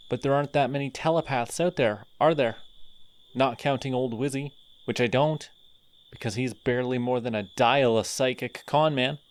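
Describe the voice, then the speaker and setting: A man voicing a sardonic male telepathic villain in an audio roleplay, mocking and deliberate. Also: mid-range voice, lightly acted.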